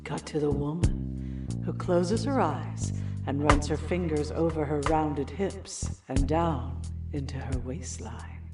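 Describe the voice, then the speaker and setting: An actress in an audio play voicing a sultry inner voice that narrates a lurid screenplay in a deliberate, sensual manner. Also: female voice, sits low. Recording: distant voice under loud music.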